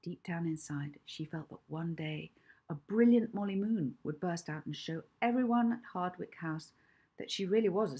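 Husky, low-voiced woman reading a story. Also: dark tone. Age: middle-aged. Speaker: author reading own book. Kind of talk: children's audiobook narration.

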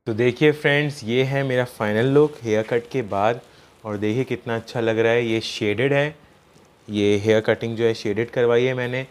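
A man's voice: medium pitch, steady tone, moderate pace. A young man hosting a casual vlog, chatting to his viewers from a barber salon and interviewing the barber. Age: young adult. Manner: friendly, chatty, addresses viewers directly. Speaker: vlogger and host. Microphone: phone camera at arm's length.

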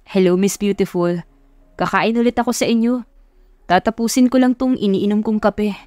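A woman's voice: mid-range voice, steady tone, moderate pace, warm tone, dry recording, lightly acted. A woman voicing a cocky, possessive rich young man, boastful and bossy, in an audio love story. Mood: smug.

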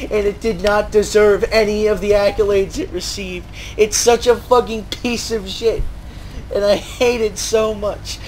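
A man's voice: sits high, fairly even intonation, measured pace, a little mellow. A man giving mocking, sarcastic game commentary, swearing and ranting as he goes. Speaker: gaming video host. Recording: noisy, game sound behind.

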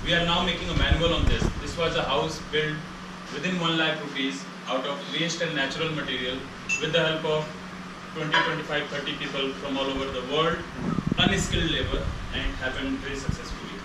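Man, informal presenter, very echoey, loud noise behind. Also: fairly high pitch, steady tone, brisk, recorded from a distance. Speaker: travelling rider presenting his project. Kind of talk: informal public talk. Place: motorcycle store cafe, indoors. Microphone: camera from across the room.

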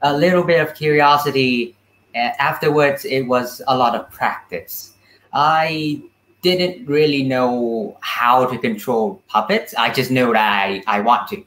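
A teenage young man talks slowly in a mid-pitched voice. He phrases things carefully and haltingly as an interview guest. The video call sounds echoey. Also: fairly bright tone. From Vietnam. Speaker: student puppeteer, interview guest. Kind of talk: casual interview talk. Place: indoor room, on a video call.

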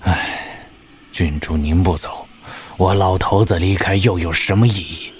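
A man playing a grave, loyal old martial master in an audio drama, solemn and measured. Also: sits low, a little hiss or hum. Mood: resigned warning.

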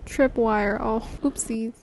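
A woman's voice: fairly high pitch, fairly even intonation, slow pace, a little mellow. A young woman, a game streamer, casually reacting as she plays. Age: young adult. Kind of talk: casual reacting while gaming. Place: small indoor room. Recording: faint noise and game audio behind.

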